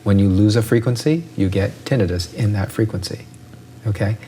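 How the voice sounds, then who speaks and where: Doctor guy, kinda low voice, explains stuff w/ analogies, keeps saying right?. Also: a little fast, dark tone, a little hiss or hum. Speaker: ear doctor guest. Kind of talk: explanatory interview talk.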